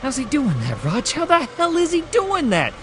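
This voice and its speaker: A man's voice: extremely high pitch, huge pitch swings, moderate pace, noisy background, pitched above the performer's own voice, exaggerated voice. A man voicing an agitated, disbelieving rescue worker, urgent and frantic, in a horror motion comic. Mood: frantic disbelief.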